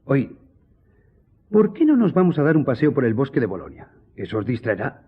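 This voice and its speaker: An actor playing a loyal, reassuring gentleman editor in a radio drama, speaking earnestly and formally with a husky, dark-toned voice. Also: mid-range voice, big pitch swings, normal speed, muffled recording. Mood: consoling, tactful. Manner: earnest, consoling, formal address.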